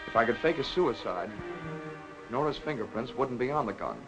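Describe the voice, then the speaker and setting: A man's voice: medium pitch, animated, moderate pace, natural voice, rough recording. Grave noir confessor played by a man over music. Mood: sombre, confessional. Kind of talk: 1940s film noir scripted narration. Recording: old film soundtrack with loud score.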